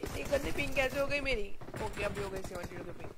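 A woman streaming a mobile shooter, with a sore throat from a cold, chatting casually and blowing up in loud, frustrated rants. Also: animated, quick pace. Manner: chatty, erupts into loud high frustrated outbursts. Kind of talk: casual live game stream chat. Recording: noisy game stream with gunfire.

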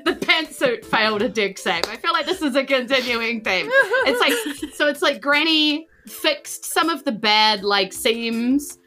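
A woman's voice: sits high, animated, measured pace, sharp tone. A woman narrating a tabletop game as game master in a casual, giggly, conversational way. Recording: faint music behind the voice. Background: faint background music.

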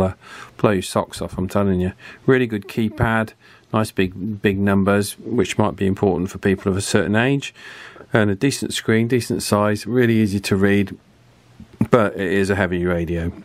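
A man's voice: deep voice, darkish tone. A man with a British accent giving a casual, chatty hands-on product review in colloquial language. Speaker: radio reviewer.

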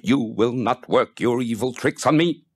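A man's voice: medium pitch, normal speed, breathy edge, no echo, studio quality, pitched above the performer's own voice. A man reading a story, voicing an ailing, tormented old rabbi with dramatic intensity. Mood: defiant, anguished. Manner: dramatic, crying out or whispering.

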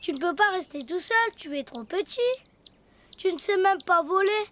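A woman voicing a cheerful, curious little boy donkey in a cartoon, eager and lively. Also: very high voice, normal speed, a little hiss or hum.

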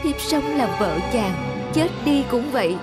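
A woman with a Southern Vietnamese accent voicing a gentle, wistful young wife in a cartoon folk tale. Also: high voice, lively intonation, a little slow. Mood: sad, devoted. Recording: music behind the voice.

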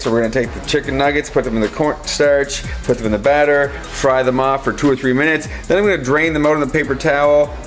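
A man in his thirties, cooking at home with his kids and walking through each step in a relaxed, easygoing way. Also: mid-range voice. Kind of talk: casual home cooking video. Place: home kitchen, indoors. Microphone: camera on the counter. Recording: echoey kitchen with music under it.

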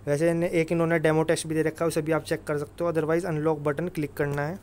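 Male exam-prep presenter, slightly high voice, informal and instructive with emphatic repetition. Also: flat delivery, fast, darkish tone, slight background noise. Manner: instructive, emphatic, repeats tag phrases. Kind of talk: informal explainer over screen recording.

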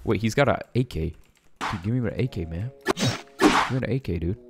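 Young man, very animated gaming commentary. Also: on the low side, brisk, gravelly, heavy background noise. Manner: slangy, jokey, rambling. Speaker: gaming YouTuber. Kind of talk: casual gameplay commentary.

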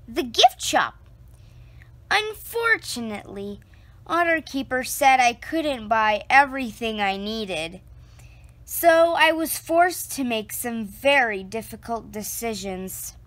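A woman reading a children's picture book aloud, slow and expressive, close to the camera indoors. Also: no room sound. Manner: slow, expressive storytelling with lively pitch.

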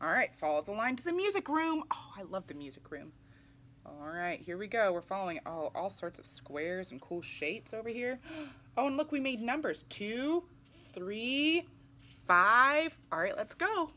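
Woman, thirties, picture book read-aloud, bright tone, lively exclamatory delivery, medium pitch. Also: moderate pace. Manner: animated, exclamatory, with audible gasps. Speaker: children's picture book reader. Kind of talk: read-aloud to young children, with asides. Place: small indoor room, seated in armchair.

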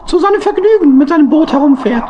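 A woman plays a little hedgehog fellow in a children's radio play. He is talkative, emphatic and a bit plaintive, with an extremely high voice. There is faint noise on the recording. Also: lively intonation, quick pace, warm tone, dull audio. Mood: envious, wistful. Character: small male hedgehog, chatty, lonely, eager bachelor. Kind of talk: German children's audio play acting.

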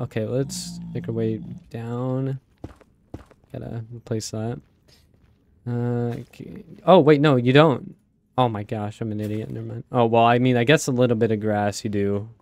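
A young man with a raspy voice from a cold, rambling casually with many fillers as he plays a game. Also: medium pitch, expressive, measured pace, a little mellow, dry recording. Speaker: let's play host. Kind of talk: casual gameplay commentary.

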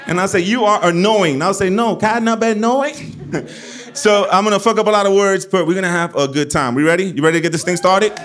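A man in his forties from Puerto Rico with a New York accent, hosting a game show on a club stage and doing loud, profane crowd work into a handheld mic. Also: high-pitched, fairly quick. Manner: loud, profane, teasing the audience. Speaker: comedian game show host. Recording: live club with crowd noise. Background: audience laughing and clapping.

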